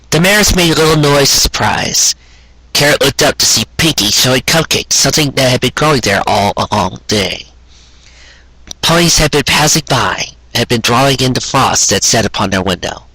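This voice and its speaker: A man reading a scripted story aloud in a gentle, measured storybook manner with deliberate pauses. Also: medium pitch, breathy edge, no echo, low-quality recording. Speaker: fan fiction audiobook reader.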